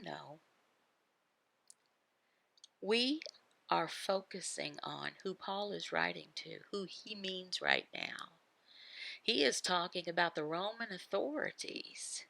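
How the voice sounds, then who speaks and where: A woman teaches a devotional at a slow, deliberate pace. Her voice is bright and forceful with a gravelly creak, and the sound is close and dry. She speaks in short, halting phrases, posing questions and answering them herself. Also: fairly low pitch, very animated, no room sound, poor audio. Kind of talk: devotional teaching voicing a signed sermon.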